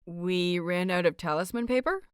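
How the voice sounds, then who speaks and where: A woman's voice: fairly low pitch, normal speed, clean tone, no echo, lightly acted. Woman as a cheerful young-hearted male teacher, light teasing manner, slightly bashful, audiobook dialogue, dry sound. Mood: feigned innocence.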